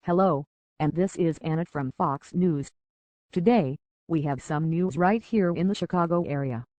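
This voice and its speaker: A woman's voice: deep voice, moderate pace, mellow, close to an everyday voice. A synthetic-sounding woman playing a TV news reporter, reading a scripted breaking news report formally.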